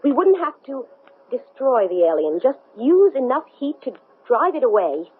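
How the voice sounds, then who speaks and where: A woman's voice: sits high, very animated, normal speed, husky, exaggerated voice. An actress playing a determined, anguished woman possessed by an alien, in an intense 1970s radio drama style. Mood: resolute. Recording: muffled vintage radio recording.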